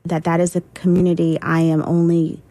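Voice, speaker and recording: A woman guest on a radio interview, a poet and teacher, answering reflectively with frequent pauses and restarts. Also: fairly low pitch, monotone, mellow, no room sound. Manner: reflective, hesitant, many ums and restarts.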